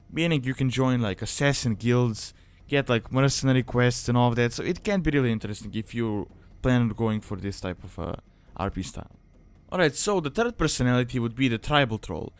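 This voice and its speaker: A man's voice: mid-range voice, lively intonation, a little fast, clear, resonant tone. Casual man presenting a tutorial. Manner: informal, conversational, hedging phrases. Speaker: video guide presenter. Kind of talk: casual explanatory tutorial narration.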